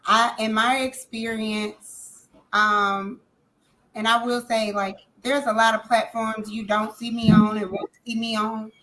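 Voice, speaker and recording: A woman singer talks casually in an interview. She is emphatic and animated and repeats phrases for effect. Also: fairly high pitch, measured pace, slight background noise, poor audio. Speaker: singer guest.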